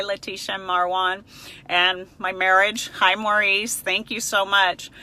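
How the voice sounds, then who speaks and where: A woman's voice: medium pitch, sharp tone. A middle-aged woman, a native Spanish speaker, chatting casually and frankly as a counselor answering viewers on a live stream. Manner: chatty, personable, emphatic, frank.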